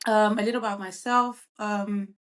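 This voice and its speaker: A woman's voice: medium pitch, fairly even intonation, normal speed, a bit bright. A bubbly woman. She answers interview questions casually, with frequent ums and uhs. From Netherlands. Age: 20s. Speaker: guest, event planner.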